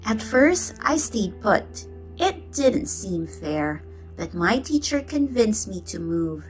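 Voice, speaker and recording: Slow-paced woman reading a children's story. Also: mid-range voice, faint music behind, low-quality recording. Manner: expressive, acts out the dialogue. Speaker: storybook reader. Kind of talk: read-aloud children's story narration.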